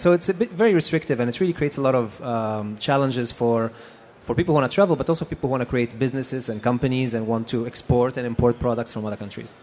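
A man in his thirties from Tunisia talks through a handheld mic on an expo hall stage. He speaks relaxed and conversational, with frequent ums, at a middle pitch. A faint hum of hall ambience sits behind him. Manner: relaxed, conversational with many fillers. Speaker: panelist. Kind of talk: conference panel talk.

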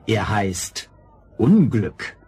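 A man's voice: lowish voice, sing-song, drawn-out words, darkish tone, husky, exaggerated voice. An actor playing a proud, stately king and father in a children's fairy-tale radio play.

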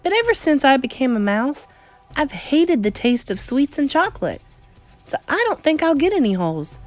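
A woman voicing an eager young boy who has become a mouse, excitable and inquisitive, in storybook dialogue. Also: sits high, moderate pace, soft background music, voice pushed up. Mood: chatty, earnest. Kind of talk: acted children's storybook dialogue.

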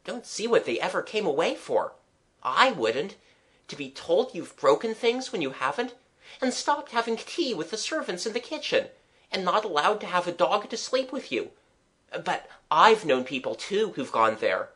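A reader voicing an eager, high-pitched young boy. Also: normal speed. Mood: confiding, indignant. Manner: excitable, confiding, earnest chatter. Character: eager, imaginative young boy dreaming aloud.

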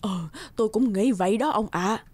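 A woman voicing a caring, gentle old fisherman's wife in a cartoon fairy tale. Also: medium pitch, normal speed, a little hiss or hum, lightly acted. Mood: agreeing. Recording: faint music under the voice.

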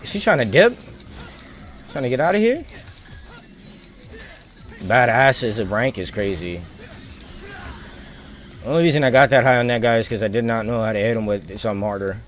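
Guy live streaming a game, slightly higher voice, slangy mutterings, music in back. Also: animated, moderate pace, long pauses. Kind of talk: casual gaming commentary.

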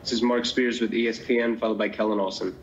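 A man moderating a press conference, calling on reporters one after another in a brisk, businesslike way. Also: lowish voice, steady tone, muffled recording, slight background noise.

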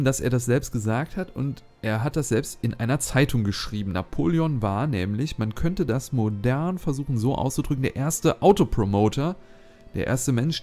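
Mellow-voiced man rambling over a game. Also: medium pitch. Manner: rambling, thinks aloud with many fillers. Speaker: let's play host. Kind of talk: casual gameplay commentary.

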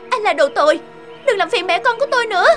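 A woman's voice: very high voice, expressive, a little fast, over music. A woman playing a proud, hurt young mother in an emotional cartoon melodrama. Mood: bitter, angry.

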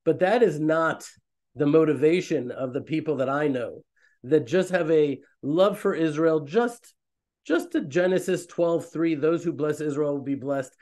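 A man in his thirties, a rabbi hosting an online fellowship, talking in a loose, rambling, animated way on a webcam from a small room. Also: a little high. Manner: rambling, animated, self-interrupting. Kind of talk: casual online talk to a community. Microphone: webcam on a video call.

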